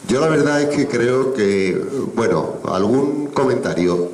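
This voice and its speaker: A man's voice: mid-range voice, animated, unhurried, darkish tone, echoey room, slight background noise, poor audio. A man speaking at a public assembly in a formal, reasoned way, laying out his points in order.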